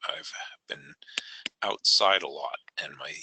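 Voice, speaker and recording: Hoarse low-voiced man hosting a webinar. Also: pushed and bright. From New England, United States. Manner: halting, many fillers, clears throat. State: hoarse, gravelly voice. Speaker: software trainer hosting a webinar. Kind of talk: online software tutorial. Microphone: online meeting audio.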